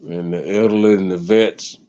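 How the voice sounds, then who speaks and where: Deep-voiced man on a dull stream. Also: fairly even intonation, moderate pace, mellow. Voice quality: deep bass voice. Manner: ranting, preachy asides. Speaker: stream host. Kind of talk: casual livestream chat.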